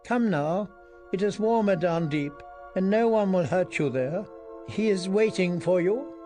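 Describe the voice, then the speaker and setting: A man voicing a gentle, beckoning childlike female forest creature in an audiobook fantasy story. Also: sits high, lively intonation, a little slow, faint music behind, voice pushed up.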